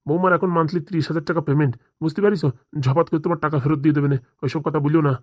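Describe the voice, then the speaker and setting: A woman's voice: low-pitched, fairly even intonation, racing, darkish tone, dry recording, clean studio sound. A woman voicing an exasperated, placating husband and father in a comedy cartoon. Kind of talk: Bengali comedy cartoon dialogue.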